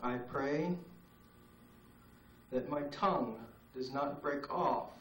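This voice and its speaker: A man performing spoken-word poetry at an open mic, with a slow, solemn, incantatory delivery and long pauses. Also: medium pitch, fairly bright tone, slight background noise. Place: open mic night in a cafe. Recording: roomy, distant VHS tape recording.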